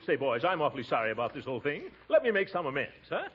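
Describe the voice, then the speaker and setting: An actor playing a flustered, genial middle-aged American uncle in a 1940s radio comedy, sputtering and exclaiming theatrically. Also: male voice, mid-range voice, sing-song, very fast, natural voice. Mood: jovial, ingratiating. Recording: dull 1940s radio recording, audience noise.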